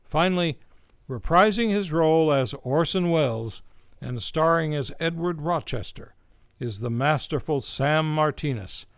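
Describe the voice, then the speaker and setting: A man's voice: a little high, lively intonation, unhurried, clean tone, dry recording, studio quality. A man hosting an old-time radio drama hour, reading a scripted introduction in a grandiose, theatrical showman's manner. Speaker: radio play host and announcer.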